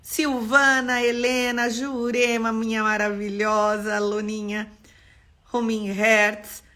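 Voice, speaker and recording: A woman from São Paulo with a Paulista accent, an architect teaching an expressive live-stream class. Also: fairly high pitch, takes it slowly. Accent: Paulista Brazilian Portuguese. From São Paulo, Brazil. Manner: expressive, greets viewers by name.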